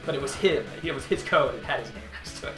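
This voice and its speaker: A man's voice: medium pitch, lively intonation, fast talker. A young man from Wisconsin co-hosting a gaming show, telling long rambling stories with plenty of swearing and restarts. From Wisconsin, USA. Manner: rambling storyteller, swears freely, restarts often. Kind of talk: casual commentary chat over gameplay. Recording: roomy recording with game music behind.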